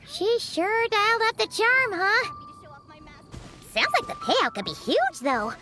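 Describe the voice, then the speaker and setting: A woman voicing a tiny, excitable female fairy companion in a video game, with a squeaky childlike voice. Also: slow pace, over loud music, exaggerated voice. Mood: curious, eager. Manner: exclamatory, refers to self in third person.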